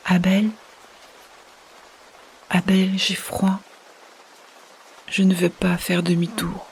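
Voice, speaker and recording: An actress playing a fading, resigned, loving woman in a science-fiction audio drama, speaking solemnly in a hushed, half-whispered, breathy voice. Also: lowish voice, slight background noise, rough recording. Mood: resigned, weak.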